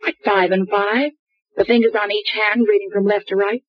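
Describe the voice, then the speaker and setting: An actress playing a sharp, guarded young woman in 1940s radio drama style, on an old dry radio recording. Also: medium pitch, steady tone, normal speed, dry recording, muffled recording, natural voice. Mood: tense.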